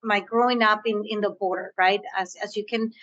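A woman's voice: mid-range voice, fairly even intonation, brisk, fairly bright tone, no echo, muffled recording. A woman with a Spanish accent, raised on the US-Mexico border, answering interview questions about negotiation in an earnest way and often repeating words as she thinks. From US-Mexico border region. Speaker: guest, negotiation coach.

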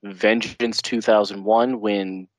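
Twenties male guest, playful riffing, low pitch, dry close mic. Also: steady tone, slow, no echo. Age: 20s. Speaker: guest wrestler. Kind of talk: casual podcast interview.

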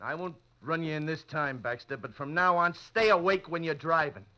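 Gruff beat cop, slangy, bright, slightly high, old radio drama. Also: male voice, normal speed. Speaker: constable. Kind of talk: 1940s radio acting.

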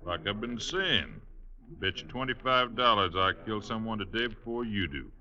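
A man's voice: lowish voice, animated, moderate pace, sharp tone. A man playing a terse, menacing gunslinger in an old radio western drama. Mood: terse, daring. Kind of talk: 1950s radio drama acting. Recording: muffled, noisy old radio recording.

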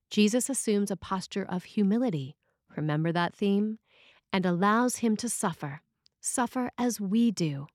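A woman's voice: medium pitch, a little mellow, no room sound, studio quality. A woman reading a written sermon as a preacher, in a scripted style.

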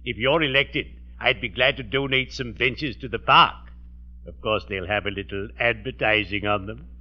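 An actor playing a genial undertaker with a solemn delivery, formal and morbidly courteous, in 1940s radio comedy style. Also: male voice, mid-range voice, normal speed, lightly acted. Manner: formal, flowery, lugubriously courteous. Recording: dull old radio recording.

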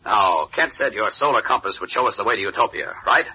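An actor playing a heroic, commanding superhero in a 1940s radio serial. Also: male voice, lowish voice, a little fast, bright, forceful tone, breathy edge, heavily acted. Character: heroic, commanding male superhero in his prime. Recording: dull lo-fi old radio recording.

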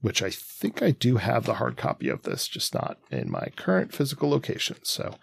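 A man co-hosting a podcast in a conversational, analytical way, halting and circling as he thinks aloud. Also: medium pitch, huge pitch swings, vocal fry, slightly rough, dry recording, studio quality. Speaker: podcast co-host. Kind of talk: conversational comics analysis, sometimes reading quotes.

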